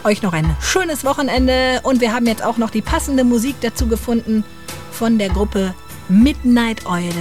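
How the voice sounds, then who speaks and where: Higher-pitched woman radio host. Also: normal speed, darkish tone, soft background music. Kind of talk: chatty radio presentation for children. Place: radio studio.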